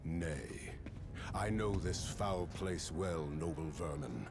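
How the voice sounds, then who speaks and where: A low, swooping heroic voice a noble Norse thunder god. He declaims grandly in archaic speech, like a game hero, with loud music underneath. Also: expressive, slow pace, full character voice. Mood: grave, proud. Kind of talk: theatrical video game voice acting.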